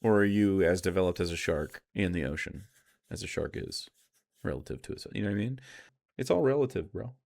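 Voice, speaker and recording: A man's voice: sits low, animated, fairly quick, creaky, darkish tone. A man giving casual, argumentative live commentary as a streamer, blunt and sarcastic, with plenty of swearing. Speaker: streamer reacting to a video.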